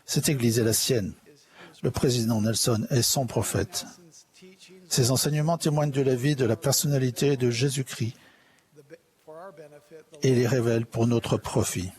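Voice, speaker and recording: Solemn man giving a measured sermon. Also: medium pitch, steady tone, darkish tone. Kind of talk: formal sermon delivered in translation.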